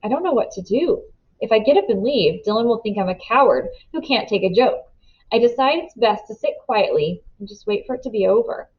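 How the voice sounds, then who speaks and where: A woman reading a children's novel aloud with expressive, first-person storybook narration. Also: a little high, brisk, dull audio. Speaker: storybook reader.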